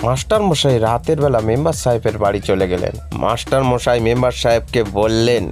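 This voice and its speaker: A man's voice: mid-range voice, brisk. A man narrating a scripted children's story. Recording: loud music bed under the voice. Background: loud background music.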